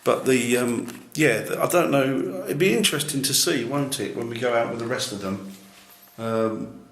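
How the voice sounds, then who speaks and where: Booming-voiced male ghost-hunting YouTuber, skeptical and chatty, recorded in a roomy echoey building with faint noise. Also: moderate pace. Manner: loud booming voice; musing, skeptical. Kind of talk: casual on-location chat. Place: large echoey building, a former jail, at night.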